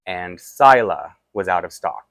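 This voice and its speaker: A man with a Toronto accent talks through a doll unboxing in a loose, rambling way, poking fun at himself as he goes. His voice sits low, and the sound is dry and close with no room echo. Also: lively intonation, unhurried, vocal fry, fairly bright tone. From Toronto, Canada. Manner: chatty and self-deprecating, rambling asides while handling a doll. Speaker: doll collector vlogger. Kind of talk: casual unboxing chat.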